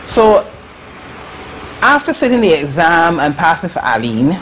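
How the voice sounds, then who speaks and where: A Barbadian woman in her forties, remembering her school days in a slow, halting way as an interview guest on a video call from her living room. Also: low voice, sing-song, a little hiss or hum, low-quality recording. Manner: slow, reflective, halting with fillers. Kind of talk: casual recollection. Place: living room at home, indoors. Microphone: webcam on a video call.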